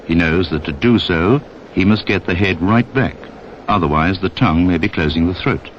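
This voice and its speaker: A man's voice: deep voice, normal speed, a little hiss or hum. A man narrating a 1960s instructional film in a scripted, direct style that addresses the listener. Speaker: narrator of a public information film. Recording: dull band-limited 1960s film soundtrack.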